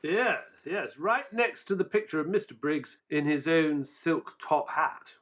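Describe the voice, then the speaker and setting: An actor playing an affable, mild-mannered Victorian doctor who narrates a detective audio drama and joins in the dialogue. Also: male voice, fairly high pitch, expressive, measured pace, fairly bright tone. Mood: earnest.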